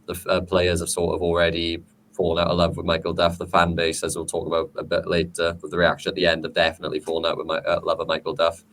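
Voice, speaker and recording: A man talking as a guest on a casual football podcast, giving measured analysis. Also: sits low, fairly bright tone, a little hiss or hum. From Wales.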